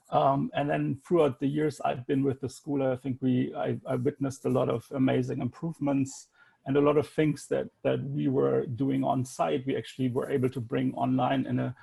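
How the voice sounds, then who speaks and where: Man with a german accent, halting panel talk, raspy creaky voice, monotone. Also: mid-range voice, poor audio. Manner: hesitant, many fillers and restarts. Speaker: graduate director, architect. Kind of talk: panel presentation.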